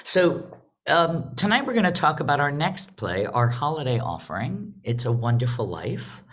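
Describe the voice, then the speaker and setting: Woman, theatre director, online host, measured delivery with pauses, video call. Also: sits low, very animated. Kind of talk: welcoming remarks to an online audience.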